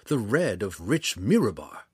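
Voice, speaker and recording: A man voicing a cunning male dragon trapped in a dark elf's body, who pleads and argues in a dramatic way in a storybook reading. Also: lowish voice, very animated, unhurried, slightly rough, no echo, studio quality, heavily acted.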